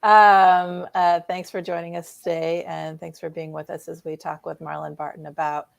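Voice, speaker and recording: Low, creaky-voiced woman hosting. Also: little pitch movement, moderate pace, no room sound. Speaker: show host. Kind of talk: conversational interview hosting.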